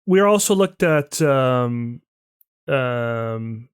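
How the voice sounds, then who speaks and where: Halting male podcast host, dry sound. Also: medium pitch, lively intonation, clean tone, no echo. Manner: halting, with many restarts and short acknowledgements. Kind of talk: casual interview conversation.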